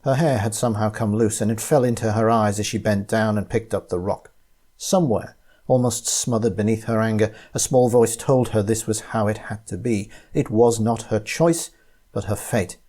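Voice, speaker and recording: A man reading a short story aloud as its narrator, in an expressive, dramatic way. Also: on the low side, moderate pace.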